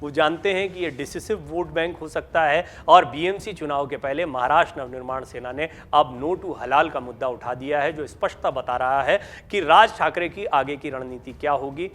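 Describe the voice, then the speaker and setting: A man with a slightly high voice presents news commentary. He explains step by step in the manner of an analyst, putting questions to the listener and then answering them. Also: moderate pace, few pauses, fairly bright tone.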